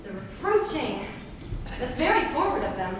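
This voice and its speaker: A young woman acting as a prim, earnest Victorian young lady in a deliberate theatrical comedy reading. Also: high-pitched, sing-song. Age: young adult. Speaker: student performer in a dramatic reading. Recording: very echoey hall, distant, noisy.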